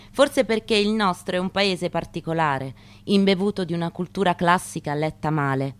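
A woman with a slightly low voice reads a literary text as an actress would. Her delivery is measured and emphatic, broken into short weighted phrases. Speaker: actress giving a staged reading. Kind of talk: dramatic literary reading.

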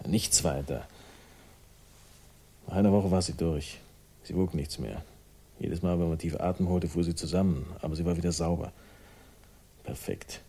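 A man's voice: low voice, fairly even intonation, normal speed, pauses often, warm tone, breathy edge. An actor playing a world-weary, sardonic man with a troubled past, speaking tersely in a radio crime drama. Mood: bitter, haunted. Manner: terse, fragmented, wry. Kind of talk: 1960s German radio crime drama acting.

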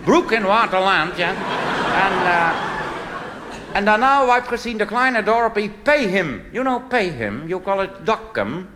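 A man's voice: sits high, very animated, unhurried, background noise. A man voicing a chatty English-speaking male tourist who mixes English words into clumsy Dutch grammar. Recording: reverberant live hall with music.

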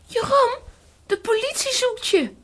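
A woman's voice: extremely high pitch, lively intonation, unhurried. A woman playing a spirited, inquisitive little girl in a children's audio drama, urgent and insistent. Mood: worried.

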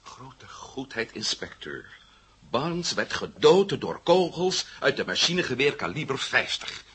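A defiant man under arrest, argues in a forceful, very husky voice. He is cutting and urgent, with emphatic delivery in the manner of a 1970 radio drama. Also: medium pitch, very animated, a little slow, full character voice. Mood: defiant, indignant. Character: defiant, hot-headed man held by police, urgent and sarcastic.

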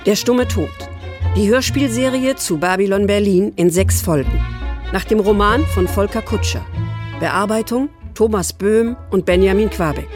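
A woman's voice: medium pitch, moderate pace. A woman reading scripted credits as an announcer for a radio drama. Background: orchestral music with violin.

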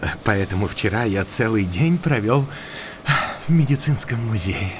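An actor playing a polite country doctor in an audio drama, speaking haltingly with little filler sounds. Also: male voice, on the low side, expressive, a little hiss or hum, close to an everyday voice. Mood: polite, hesitant.

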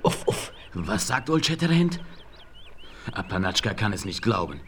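Casting: stunned young warrior, low, quiet and slow, hissing old tape. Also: male voice, very animated. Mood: astonished. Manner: soft, astonished. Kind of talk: 1970s radio play acting.

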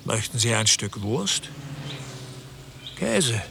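Pedantic male clerk, animated intonation, bright creaky voice, prim, self-satisfied, radio drama, faint noise. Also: mid-range voice, heavily acted. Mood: polite, stiff. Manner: prim, measured, sententious. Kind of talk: German radio drama acting.

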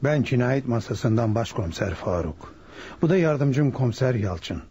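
An actor playing a probing homicide inspector in a radio drama, questioning formally and deliberately. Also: male voice, mid-range voice, expressive, mellow, husky, no echo. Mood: suspicious, probing. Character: probing, suspicious male homicide chief inspector. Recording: dull lo-fi radio recording.

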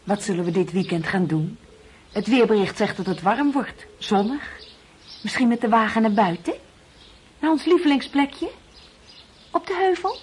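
Woman acting middle-aged wife in a radio play, coaxing n eager, very lively pitch, slight noise. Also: moderate pace, long pauses, lightly acted. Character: eager, pleading wife and mother of an absent son.

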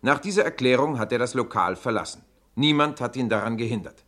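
A man acting a composed radio play narrator with a medium-pitched voice. He is earnest and deliberate, formally addressing and placating his listeners. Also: lively intonation, a bit bright, lightly acted. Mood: measured, insistent.

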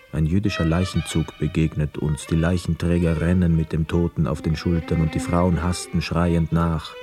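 An actor in a radio play voicing a weary, melancholy young war returnee who narrates in a sombre, measured way. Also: male voice, very deep voice. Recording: dry studio with faint music.

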